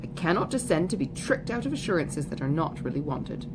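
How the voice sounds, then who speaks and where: A woman voicing an impassioned, indignant young gentlewoman from a period novel, with emphatic, formal phrasing, in a home recording. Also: sits low, very animated, brisk, very noisy.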